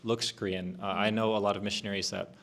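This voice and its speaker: A man's voice: fairly low pitch, little pitch movement, fast talker, a bit bright. A young man, chatting casually on a student panel, talking with many fillers and restarts. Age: young adult. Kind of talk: casual discussion.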